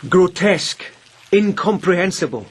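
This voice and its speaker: An actor playing a plainspoken, brooding police lieutenant of humble birth in a radio drama. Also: male voice, high-pitched, animated, normal speed, slightly rough. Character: rough-born police lieutenant, brooding and wry.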